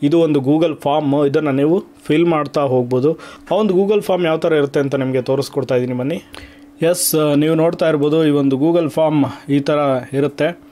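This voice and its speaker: Higher-pitched man, explaining. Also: steady tone, brisk, mellow. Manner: code-mixes English terms, emphatic listing. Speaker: YouTube channel host. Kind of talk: explanatory vlog talk to viewers.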